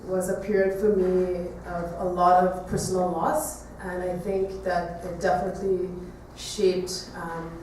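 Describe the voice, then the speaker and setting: A woman from Trinidad giving an artist talk to an audience, speaking reflectively with hesitant pauses. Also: lowish voice, little pitch movement, a little mellow. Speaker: visual artist giving a presentation. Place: echoey room, talk to an audience. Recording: echoey room, distant mic, faint noise.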